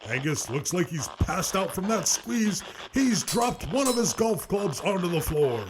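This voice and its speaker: Wildly animated, high-voiced male commentator. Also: measured pace, a little hiss or hum. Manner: dramatic, animated. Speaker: fight commentator. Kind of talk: scripted play-by-play commentary.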